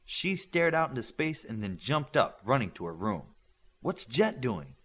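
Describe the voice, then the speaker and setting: A man's voice: mid-range voice, big pitch swings, normal speed, fairly bright tone. A man reading a novel aloud as scripted narration, acting out its dialogue. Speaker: audiobook narrator.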